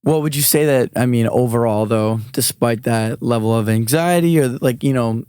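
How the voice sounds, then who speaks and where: A podcast host with a somewhat raspy voice, asking long, rambling questions and giving frequent backchannel murmurs. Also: mid-range voice, moderate pace, mellow, dry recording, studio quality. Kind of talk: casual interview questions.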